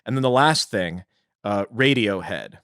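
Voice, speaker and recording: Male podcast co-host, lowish pitch, informal explainer in casual chat, dry studio-like sound without room echo. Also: lively intonation, a little slow, fairly bright tone, smooth. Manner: informal, explains trivia in detail.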